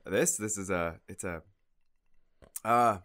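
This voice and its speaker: An actor playing a polite, reserved stranger at a bar in an audio drama, speaking in a hesitant, slightly formal way. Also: male voice, on the low side, takes it slowly, fairly bright tone.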